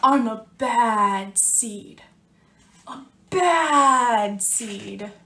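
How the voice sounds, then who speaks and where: A woman reading as a storybook sunflower seed that calls itself bad, in a dramatic, drawn-out confessional manner. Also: fairly high pitch, lively intonation, bright, forceful tone, roomy sound, exaggerated voice. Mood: brazen, boastful.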